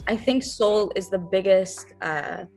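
A woman's voice: medium pitch, slow pace, creaky, a little mellow, faint music behind. A young woman, an R&B singer-songwriter, answering interview questions in a thoughtful, earnest way, with many fillers and restarts.

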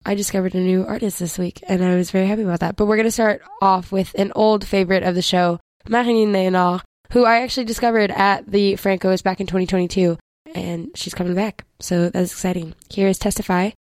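A young woman from Quebec with a hoarse, worn-out voice, chatting casually as host of a music show. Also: medium pitch, fairly even intonation, quick pace, darkish tone, dry recording. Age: young adult. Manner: chatty, rambling with fillers. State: hoarse, lost voice.

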